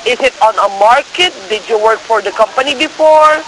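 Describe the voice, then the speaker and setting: A man with non-native English phrasing giving a persistent, partly scripted telephone sales pitch, often checking that the listener follows. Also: brisk. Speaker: cold-call share scammer posing as broker. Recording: noisy phone call with crackly hiss.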